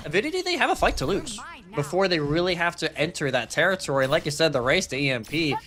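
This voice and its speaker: A man's voice: on the high side, very animated, quick pace, fairly bright tone. A man giving live esports commentary and analysis in a conversational, explanatory way.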